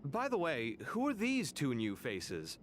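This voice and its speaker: A man's voice: on the high side, huge pitch swings, a little slow, background noise. A man playing an inquisitive, easygoing adventurer in a video game. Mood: curious.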